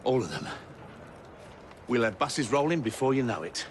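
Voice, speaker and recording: An actor playing a proud, upright Victorian bus builder with an English accent, emphatic and hearty in a video game. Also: male voice, mid-range voice, expressive, normal speed, a little hiss or hum, close to an everyday voice. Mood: grateful, hearty. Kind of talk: video game cutscene acting.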